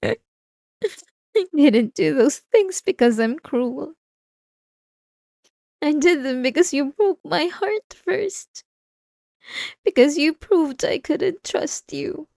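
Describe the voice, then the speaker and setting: A woman's voice: high-pitched, expressive, slow pace, vocal fry, long pauses, dark tone, no room sound, clean studio sound, full character voice. A woman playing an unhinged, possessive and obsessive wife in a dramatic ASMR roleplay, intense and swinging between pleading and menace. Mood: justifying, intense. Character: unhinged possessive, obsessive young wife confronting her husband.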